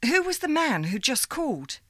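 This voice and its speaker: A woman's voice: high voice, sing-song, moderate pace, bright, forceful tone, no echo, studio quality, voice pushed up, heavily acted. A woman voicing a young female night switchboard operator, guarded and insistent. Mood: wary.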